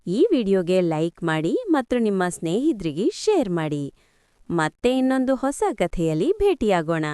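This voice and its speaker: A woman's voice: medium pitch, big pitch swings, normal speed, mellow, smooth, dry recording, clean studio sound. A woman reading a scripted channel sign-off addressed to children. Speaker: channel announcer.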